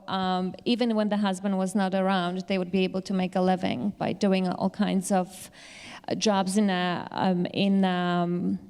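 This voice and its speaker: A woman panel speaker answering audience questions in a halting, explanatory way. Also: medium pitch, a little mellow, smooth. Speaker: panel speaker, Yiddish literature teacher. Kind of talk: live question-and-answer talk.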